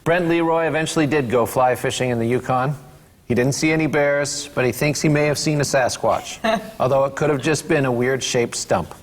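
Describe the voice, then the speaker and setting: A man's voice: medium pitch, moderate pace. A man reading stage directions and narration aloud at a sitcom script read-through. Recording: long hall reverb, faint room noise.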